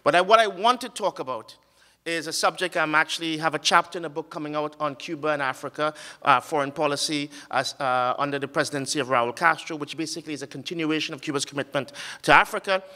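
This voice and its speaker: A man giving an academic conference talk with slides, earnest and halting, often pausing on fillers and restarting his sentences. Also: a little high, bright, forceful tone, in a large hall. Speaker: conference speaker. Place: conference hall.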